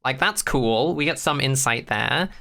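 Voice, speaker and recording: Bright, clear-voiced podcast co-host of unclear sex. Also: mid-range voice, a little slow. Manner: sweary, blunt, opinionated. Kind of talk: casual chat.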